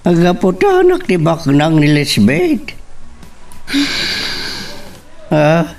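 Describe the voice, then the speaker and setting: A man's voice: a little high, wildly swooping pitch, very slow, a little mellow, soft background music, exaggerated voice. A man playing a concerned father giving advice in a radio drama, recorded a little far off. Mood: concerned, coaxing.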